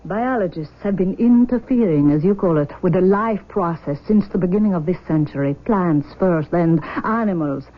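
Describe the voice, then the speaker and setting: An imperious Russian mother of forty, played by a woman, speaks in a lowish voice with fervent, deliberate emphasis. It is dramatic 1970s radio acting on a slightly muffled old broadcast. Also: natural voice. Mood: haughty, insistent. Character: intense, imperious forty-year-old Russian mother, obsessed with her cloned daughter.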